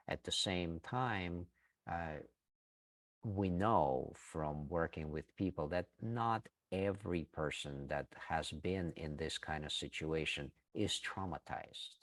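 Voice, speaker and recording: A middle-aged man from Ukraine, answering an interview question in an earnest, hesitant way with many pauses. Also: deep voice, dry recording. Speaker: interview guest, breathwork teacher.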